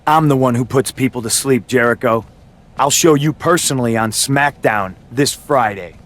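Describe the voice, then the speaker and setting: A man's voice: medium pitch, moderate pace, fairly bright tone, lightly acted. A man playing a menacing, accusing wrestler in a scripted video game cutscene. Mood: angry.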